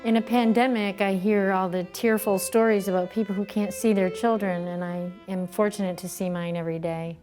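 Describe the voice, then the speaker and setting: A woman's voice: medium pitch, fairly even intonation, fairly quick, few pauses, a little mellow. An older American woman speaking casually in an interview as a workshop manager. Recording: music playing behind the voice.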